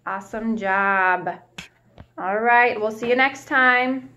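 A young woman reading a picture book aloud to small children, like a preschool teacher asking them questions as she goes, recorded in a small room at home on a webcam. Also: a little high. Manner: warm teacherly, asks the children questions and pauses. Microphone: webcam at arm's length.

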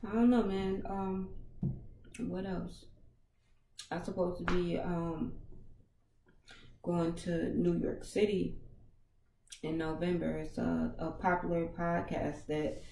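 A woman in her thirties with a naturally raspy voice, hosting a casual livestream podcast from a small home studio on a headset mic, chatting loosely with viewers in slang. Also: lowish voice, level tone, takes it slowly, long pauses, dark tone, roomy sound, slight background noise, rough recording. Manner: laid-back, slangy, talks to viewers in chat. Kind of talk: casual livestream chat reading comments. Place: small home studio with foam panels, indoors. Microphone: over-ear headset mic.

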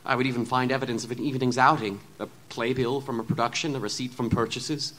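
An actor playing a refined, anguished gentleman scientist in a Gothic audio drama, speaking in formal, wordy Victorian diction with hesitant stammers. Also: medium pitch, fairly even intonation, fast talker, natural voice. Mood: troubled, rueful.

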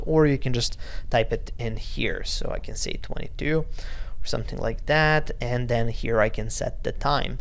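A man in his thirties from Serbia, with a Serbian accent and a gravelly voice, giving a casual step-by-step software tutorial into a close desk microphone. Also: mid-range voice.

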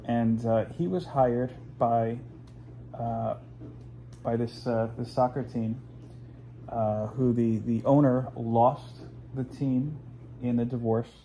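A man's voice: mid-range voice, steady tone, slow pace, long pauses, mellow, slight background noise, poor audio. A man in his forties, hosting a casual home video, talking in a rambling, chatty way with plenty of fillers. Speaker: host. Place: living room at home, indoors.

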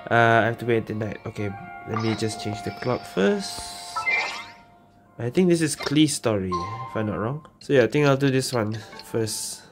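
A man's voice: medium pitch, animated, moderate pace, over music. Casual male gamer. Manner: musing, tags sentences with "eh". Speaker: gaming streamer. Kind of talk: casual gameplay commentary.

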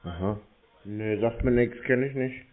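Male scambaiter, mid-pitched, acting dim and casual, narrow phone audio. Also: measured pace. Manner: feigned cluelessness. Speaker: scambaiter taking scam calls.

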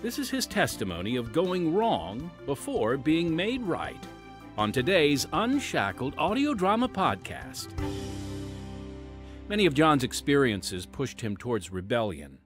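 A man's voice: a little high, wildly swooping pitch, measured pace. A man hosting as announcer, reading dramatic scripted radio narration with folksy, emphatic showmanship. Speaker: announcer and host. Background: music.

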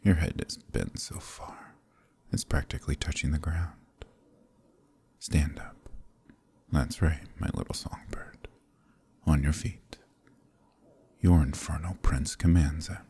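Brief: man playing a flirtatious demon prince, very deep slow murmur, husky breathy close-mic half-whisper, teasing and commanding. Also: dark tone, exaggerated voice. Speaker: voice actor in audio roleplay. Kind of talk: ASMR roleplay, close intimate murmur.

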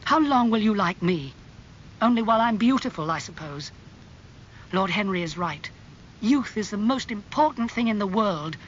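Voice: medium pitch, a little slow, pauses often, pushed and bright, dull audio, a little hiss or hum. A narrator playing a vain, anguished young man obsessed with beauty in a dramatised audiobook. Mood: bitter, impassioned.

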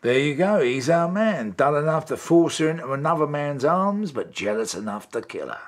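A man's voice: a little high, sing-song, normal speed. A man acting in a radio drama as a rude, sardonic older chief inspector who grumbles bluntly. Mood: sardonic, grumbling.

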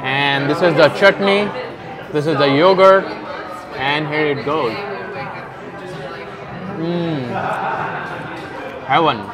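A young man with a fairly high voice gives a relaxed, casual food review, naming each dish between bites. He is recorded on a clip-on mic at his table. Loud chatter from other diners fills the background. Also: takes it slowly, pauses often. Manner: relaxed, describes each dish while tasting and chewing. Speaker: food vlogger host. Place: busy indoor restaurant dining room. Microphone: clip-on lapel mic. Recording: restaurant crowd chatter behind.

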